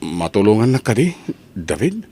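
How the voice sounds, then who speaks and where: A man plays an earnest, restless son hungry for work. His pitch leaps dramatically through each line. He speaks eagerly and emphatically, as in radio drama. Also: sing-song, moderate pace, dark tone, husky, no echo, exaggerated voice. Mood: eager, hopeful. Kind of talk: Ilocano radio-style drama acting.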